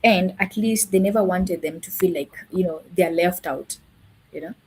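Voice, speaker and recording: A woman with a lowish, husky voice, speaking as a guest in a live online talk. She pauses often, restarts her sentences and leans on fillers as she explains her work as a language teacher. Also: darkish tone, poor audio. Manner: hesitant, many fillers and self-corrections.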